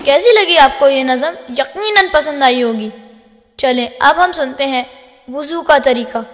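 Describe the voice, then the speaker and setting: A woman presenting a children's programme, addressing her young listeners directly. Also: high-pitched. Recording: long hall-like reverb.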